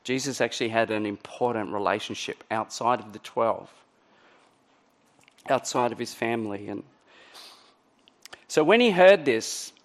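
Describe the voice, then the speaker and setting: A middle-aged man preaching a sermon in a conversational way, his voice a little croaky. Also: mid-range voice, unhurried, pauses often. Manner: conversational, many ums and restarts. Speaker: pastor.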